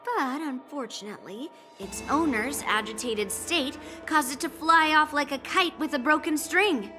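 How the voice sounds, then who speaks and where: A woman's voice: sits high, measured pace, over music. A woman playing a cocky young swordswoman who teases and laughs often, in a game cutscene. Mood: teasing. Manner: teasing, laughs often, smug. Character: cocky young female swordswoman, teasing and bold.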